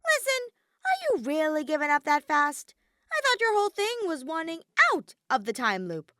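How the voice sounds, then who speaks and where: A woman's voice: sits high, sing-song, moderate pace, pushed and bright, no room sound, studio quality, pitched above the performer's own voice, full character voice. A woman voicing a mischievous, flippant robotic bear villain in a dramatic audiobook reading, theatrical, grumbling and cartoonish. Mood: exasperated. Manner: matter-of-fact, theatrical, grumbling.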